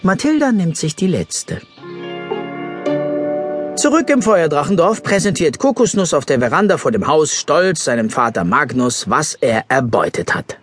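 A man narrating a scripted children's story as an expressive storyteller. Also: on the high side, moderate pace, soft background music.

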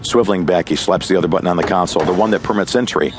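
Fast, low-voiced man narrating a radio play. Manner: dramatic present-tense storytelling. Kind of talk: scripted radio play narration, reading stage directions. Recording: music bed behind the voice. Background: music.

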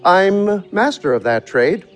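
Wily manservant for verse comedy: knowing, flourishing, higher-pitched male voice, lively swoops. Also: slow, soft background music, exaggerated voice. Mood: teasing, boastful. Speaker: actor. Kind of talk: theatrical verse comedy performance.

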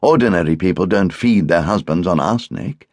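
A man voicing a brisk, opinionated English wife and hostess in an audiobook, with an arch, emphatic manner. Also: deep voice, a little mellow, no room sound, clean studio sound, pitched above the performer's own voice. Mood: incredulous.

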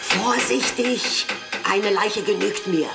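An actress playing a stern, indignant elderly aristocratic lady in a German audio drama, speaking in a deliberate, imperious way. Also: medium pitch, gravelly, over loud music. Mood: urgent, alarmed. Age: older.

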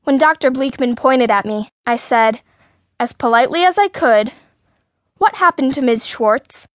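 A woman's voice: high voice, unhurried, no echo, clean studio sound. A woman reading a children's story in the first person, as an indignant, outspoken sixth-grade girl. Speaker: audiobook narrator.

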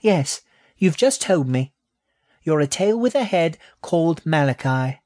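Man putting on a high, feisty little girl rat. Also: very animated, unhurried, a little mellow. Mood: indignant, sulky. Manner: pert, indignant, questioning. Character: tiny young female baby rat, feisty, proud, inquisitive. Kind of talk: children's audiobook character.

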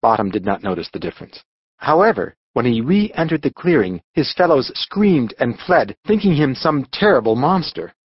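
A man narrating a scripted storybook audiobook with dramatic, expressive delivery. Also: medium pitch, moderate pace, breathy edge, no echo, dull audio.

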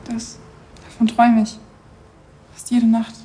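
A young actress plays a stubborn teenage girl who loves horses and talks frankly. Her voice sits slightly high, with faint noise behind it. She sounds sulky and direct. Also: completely flat delivery, measured pace, mellow, lightly acted.

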